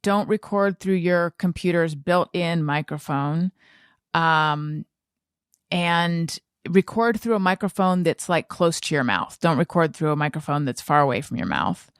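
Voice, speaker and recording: A woman's voice: on the low side, measured pace, clean tone, no room sound, clean studio sound. A woman hosting a podcast, chatting casually in a candid, blunt way with self-deprecating humour. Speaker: podcast host. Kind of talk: casual conversational podcast chat.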